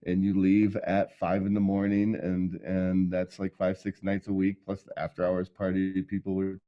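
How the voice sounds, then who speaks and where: A middle-aged man from Michigan, telling his life story as an interview guest in a relaxed way, with many ums and laughs. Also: low voice, moderate pace, muffled recording. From Michigan, USA. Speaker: guest, sound healer.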